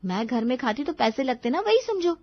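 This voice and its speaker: Woman voicing a spoiled, high-pitched sulky daughter. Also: very animated, a little fast, no echo, highs cut off, heavily acted. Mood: defiant. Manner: petulant, snapping, complaining. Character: spoiled, sulky teenage daughter, defiant and whiny. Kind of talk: cartoon moral-story acting.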